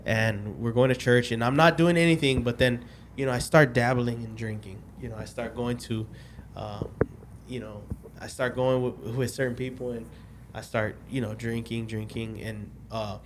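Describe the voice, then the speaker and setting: A man in his late twenties tells a long personal story in a loose, rambling way, stopping and restarting as he tries to get his dates straight. His voice sits at an ordinary middle pitch, and a faint noise hangs in the background of the recording. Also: steady tone, moderate pace. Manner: rambling, loses track of dates, many restarts and fillers. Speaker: podcast guest telling his testimony. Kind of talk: casual podcast storytelling.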